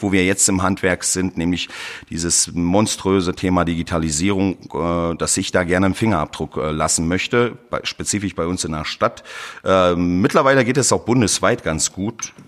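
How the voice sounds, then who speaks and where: A man from Berlin answering conversationally as an interview guest, explaining things with frequent hesitant pauses. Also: deep voice. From Berlin, Germany. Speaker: tradesman and social media creator, interview guest.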